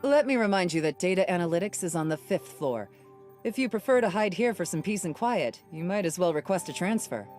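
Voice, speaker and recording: Game role: woman as authoritative squad captain, lowish voice, firm, matter-of-fact delivery, music behind. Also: expressive, fairly quick, a little mellow, lightly acted. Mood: stern, brisk. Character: composed, authoritative female captain of a hunter squad. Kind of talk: video game voice acting.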